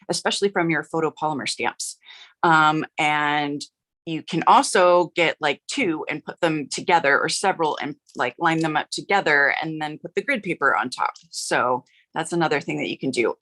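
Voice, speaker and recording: A middle-aged woman hosting a live card-making stream, chatty and upbeat with lots of filler words, her voice a little rough from yelling. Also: deep voice. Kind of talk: casual livestream chat.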